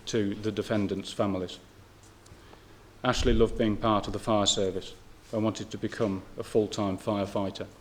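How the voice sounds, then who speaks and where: A man, a police family liaison officer, formally reading out a statement on behalf of a family in a measured, occasionally hesitant way. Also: on the low side, slight background noise. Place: room at a press briefing.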